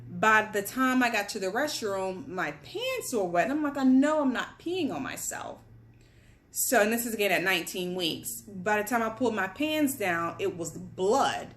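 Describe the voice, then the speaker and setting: A woman's voice: mid-range voice, lively intonation, moderate pace, a bit bright, slight background noise. Candid young woman from Guyana, chatty home storyteller, mid-range voice. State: pregnant with twins, on bed rest. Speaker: vlogger. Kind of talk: casual personal story to camera. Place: home, at a table among boxes.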